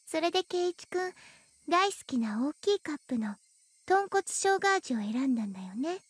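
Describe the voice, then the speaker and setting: A high, childlike teenage girl from an anime game, speaking quickly. She coaxes sweetly, with an eerie insistence under the cuteness. The sound is close and dry, with soft music underneath. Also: sing-song, no echo. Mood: sweet, coaxing. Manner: cutesy, coaxing, childlike. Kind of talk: anime visual novel voice acting.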